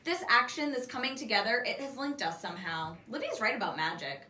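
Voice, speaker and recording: A woman's voice: a little high, animated, a little fast, sharp tone. A woman reading a children's book aloud expressively, in the style of a teacher. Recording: echoey room, distant microphone.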